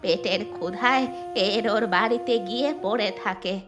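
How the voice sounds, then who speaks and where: A woman's voice: mid-range voice, moderate pace, over music, close to an everyday voice. A woman playing a poor, humble, long-suffering village mother in a cartoon, plaintive and loving. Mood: pleading, apologetic. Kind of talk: Bengali fairy tale cartoon dubbing.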